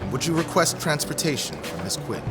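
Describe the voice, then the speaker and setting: A man's voice: mid-range voice, very animated, lightly acted. A man playing a pompous, stern vice chancellor of a scholars' guild in an audio drama, speaking formally over music. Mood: grave, formal. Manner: pompous, formal, measured delivery. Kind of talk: scripted audio drama acting. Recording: music behind the voice.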